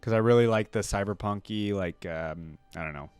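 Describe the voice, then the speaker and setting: A man's voice: lowish voice, normal speed, a little mellow. A man hosting a reaction video, commenting casually and with easy, amused enthusiasm.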